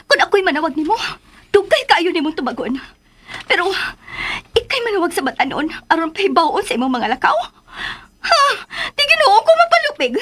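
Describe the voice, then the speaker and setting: A woman's voice: extremely high pitch, sing-song, fairly quick, fairly bright tone, slight background noise, full character voice. A woman playing a jealous, spiteful and haughty young wife in a radio drama, snappish and imperious, with a Cebuano accent. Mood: bitter, vengeful. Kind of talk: Philippine radio drama acting.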